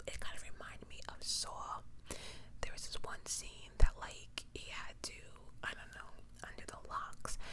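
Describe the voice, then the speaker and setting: Whispering woman, true crime ASMR. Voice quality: breathy, unvoiced whisper. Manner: conversational, rambling asides. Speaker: ASMR host.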